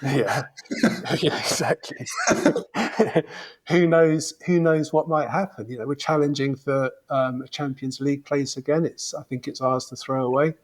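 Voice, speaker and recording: A man's voice: mid-range voice, unhurried. A man in his fifties, a football fan invited as a podcast guest, chatting and reminiscing in a rambling, reflective way. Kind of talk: casual podcast chat.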